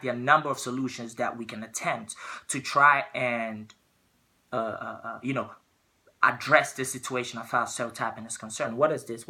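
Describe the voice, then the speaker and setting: A man's voice: mid-range voice, sharp tone. Earnest man from Ghana giving a speech. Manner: earnest, repeats words while searching for phrasing. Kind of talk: persuasive speech.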